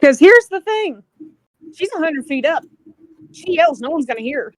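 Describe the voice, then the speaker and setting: A woman's voice: high voice, expressive, normal speed, dry recording, poor audio. A woman talking casually and informally, with some swearing, as a player in a tabletop game.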